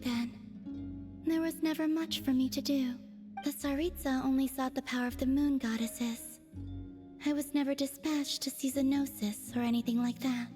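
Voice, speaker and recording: High-voiced, wistful young woman. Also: dark tone. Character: soft-spoken young woman, wistful, detached, quietly innocent. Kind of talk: video game voice acting.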